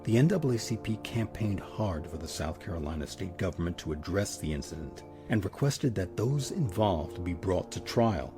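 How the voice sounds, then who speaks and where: A man with a husky voice delivering scripted documentary narration as the host. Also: low-pitched, animated, normal speed, few pauses, over music.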